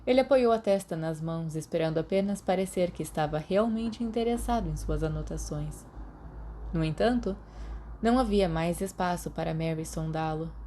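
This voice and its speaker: A woman with a Brazilian Portuguese accent reading fan fiction aloud in steady, measured narration. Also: sits low, slight background noise. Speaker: podfic reader.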